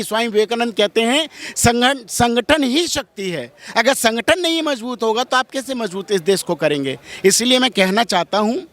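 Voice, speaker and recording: A man's voice: very high-pitched, a bit bright. A man speaking as a religious figure in an interview, strident and combative, firing off rhetorical questions in an impassioned way. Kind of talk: impassioned street interview answers.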